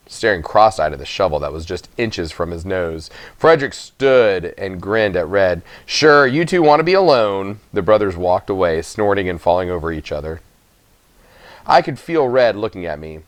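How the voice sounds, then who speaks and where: A man reading a first-person children's story aloud with expressive narration. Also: low-pitched, no echo. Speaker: audiobook reader.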